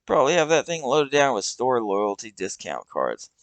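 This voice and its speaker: A man voicing a chatty old male vagrant who talks loudly and mockingly. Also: medium pitch, very animated, moderate pace, no echo, voice pushed up. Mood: lecturing.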